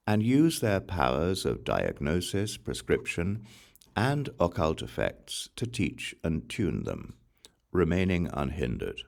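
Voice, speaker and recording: Very deep, gravelly male scripture reader. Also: darkish tone. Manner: measured, solemn recitation. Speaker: audiobook reader.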